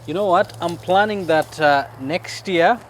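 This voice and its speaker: A man's voice: high-pitched, a little slow, a little hiss or hum, rough recording. A man with a Kenyan accent chatting casually outdoors as he co-hosts a family garden vlog.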